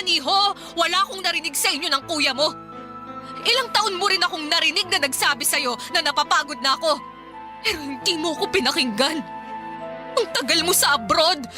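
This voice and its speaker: A high-voiced, bitter, exhausted young mother. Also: sharp tone, full character voice. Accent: Filipino. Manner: tearful, reproachful, emphatic. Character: embittered, exhausted live-in partner and young mother leaving. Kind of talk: Filipino radio drama acting. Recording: music bed under the voice.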